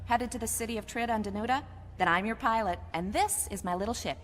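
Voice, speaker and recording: An actress playing a brash young courier pilot in an audio drama, brisk, bossy and cheeky. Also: female voice, fairly high pitch, fairly bright tone, lightly acted. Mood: cocky. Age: young adult. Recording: reverberant space, faint background noise.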